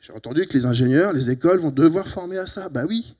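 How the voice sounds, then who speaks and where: A middle-aged man from Italy with an Italian accent, giving a lively, teasing closing talk at a conference on a handheld mic, with sudden loud emphatic bursts. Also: on the high side, fast. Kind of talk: lively, joking conference speech. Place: conference hall. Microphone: handheld microphone.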